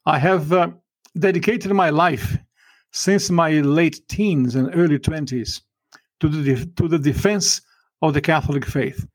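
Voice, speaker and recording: A man's voice: fairly high pitch, measured pace, dry recording. A man from Brazil with a Brazilian Portuguese accent, a guest on a podcast interview who speaks in an emphatic, fervent preaching tone and often restarts his sentences.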